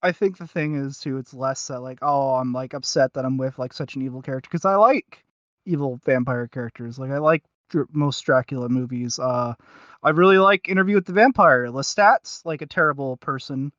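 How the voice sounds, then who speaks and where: A man hosting a casual review chat, talking in a halting, rambling and self-deprecating way. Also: medium pitch, fairly bright tone, dry recording, muffled recording. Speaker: podcast host.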